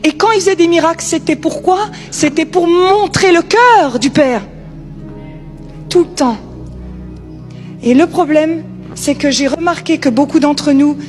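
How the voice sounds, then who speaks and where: A woman with a high-pitched voice preaches in an informal, conversational style. She is emphatic and repeats her key phrases, with music playing softly behind her. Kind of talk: informal sermon.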